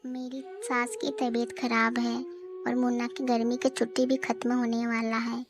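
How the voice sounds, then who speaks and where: Dutiful, high-voiced young mother played by a woman. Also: moderate pace, lightly acted. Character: dutiful, gentle young married daughter and mother. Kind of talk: cartoon story acting. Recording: music behind the voice.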